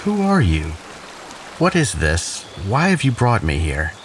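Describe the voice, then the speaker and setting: A man's voice: mid-range voice, huge pitch swings, a little slow, background noise. A man playing an arrogant, sardonic and defensive man with a flippant manner in an audio drama.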